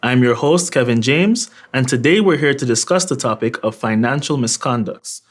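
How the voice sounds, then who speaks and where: A man's voice: medium pitch, moderate pace. A man hosting an interview, asking polite, formal, prepared questions. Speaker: interview host.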